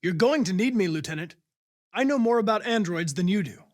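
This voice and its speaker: A man's voice: high-pitched, lively intonation, fairly quick, no echo, studio quality. A man playing a polite, determined young android police investigator in a video game, with formal, precise, controlled diction. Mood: earnest, formal.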